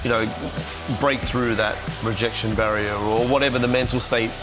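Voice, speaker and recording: A man's voice: medium pitch, fairly even intonation, normal speed, over music, low-quality recording. A middle-aged man from India, a host asking friendly, encouraging questions in a casual interview.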